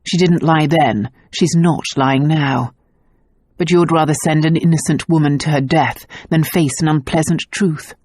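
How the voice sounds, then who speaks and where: Gruff devoted husband, lowered voice, dry close read, as a woman narrator puts him on. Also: fairly even intonation, measured pace, darkish tone, dry recording. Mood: cold. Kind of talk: audiobook character voice.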